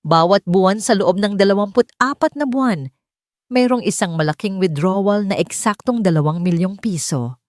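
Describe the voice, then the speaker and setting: A woman's voice: mid-range voice, moderate pace, a little mellow, no room sound, studio quality. A woman reading a scripted dramatic story as a narrator. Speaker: audiobook narrator.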